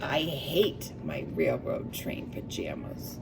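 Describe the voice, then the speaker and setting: A woman's voice: extremely low pitch, huge pitch swings, slow pace, creaky, music in the background. A woman reading a picture book aloud to young children, expressively, with asides and questions to her listeners.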